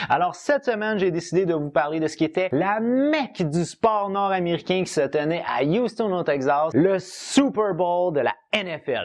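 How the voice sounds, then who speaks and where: A young man with a Québécois accent hosting a weekly news vlog, chatting and joking as he addresses his viewers as a community. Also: fairly high pitch. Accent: Québécois French. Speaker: vlog host. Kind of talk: fast casual news commentary with humor.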